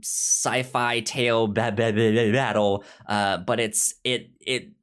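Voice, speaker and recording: A man co-hosting a casual discussion podcast, talking haltingly and often repeating sounds and words as he goes. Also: fairly low pitch, fairly even intonation, fairly bright tone, smooth. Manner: halting, frequent stammered repetition of sounds and words. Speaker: podcast co-host. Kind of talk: casual book discussion chat.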